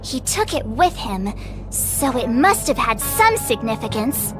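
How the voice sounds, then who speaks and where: A woman voicing an excitable, theatrical young girl detective in a video game, playful and dramatic. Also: on the high side, measured pace, music in the background. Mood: musing, determined. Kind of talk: animated video game voice acting.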